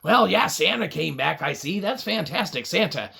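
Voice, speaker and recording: A woman's voice: fairly low pitch, animated, moderate pace, creaky, bright, forceful tone, breathy edge. A woman improvising a comic children's puppet-show story, rambling along with wry asides.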